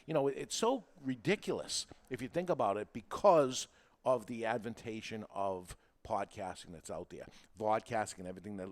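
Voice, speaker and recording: Male podcast host, mid-pitched, making his case informally and emphatically, with repetition and rhetorical questions. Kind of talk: informal talk show monologue, explaining and persuading.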